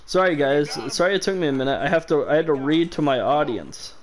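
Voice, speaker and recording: A man's voice: fairly high pitch, a little fast. Sarcastic young man streaming a game. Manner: self-deprecating, sarcastic, swears casually. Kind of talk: casual gameplay commentary.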